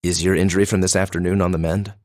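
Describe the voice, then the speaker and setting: An actor playing a cool, composed young noble student in a video game, speaking formally and with measured, aloof diction. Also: male voice, low voice, flat delivery, darkish tone, no echo, studio quality, close to an everyday voice. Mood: amused, probing. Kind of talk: English video game voice acting.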